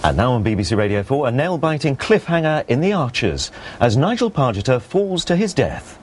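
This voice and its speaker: A man's voice: medium pitch, sing-song, fairly quick. A British man, a radio broadcaster, announcing and chatting drily. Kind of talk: continuity announcing and dry interview chat.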